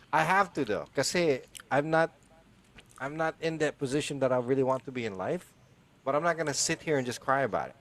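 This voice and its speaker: A man's voice: mid-range voice, expressive, normal speed, pauses often, a bit bright. A man in his forties from the Philippines, co-hosting a podcast in a casual, rambling way with many restarts. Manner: rambling, restarts, laughs often. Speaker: podcast co-host.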